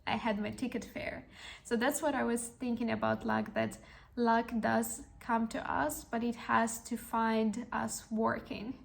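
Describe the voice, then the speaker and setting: A woman's voice: fairly high pitch, flat delivery, measured pace, vocal fry. A woman in her twenties, a podcast host telling a personal story in an earnest, encouraging way with frequent restarts. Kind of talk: reflective personal storytelling monologue.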